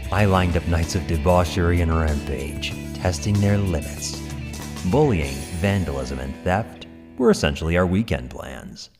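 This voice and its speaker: A man playing a brooding, manipulative young gang leader, narrating his dark story in a cold, deliberate, dramatic way. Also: low voice, animated. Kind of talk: scripted dramatic first-person narration. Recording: long reverb, loud music bed.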